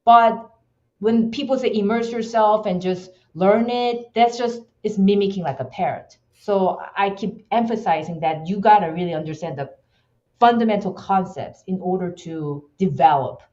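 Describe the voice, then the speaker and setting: A woman's voice: medium pitch, normal speed, a little mellow, muffled recording. Passionate Korean-accented woman guest. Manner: emphatic, passionate, frequent restarts. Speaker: podcast guest. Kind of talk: casual interview talk.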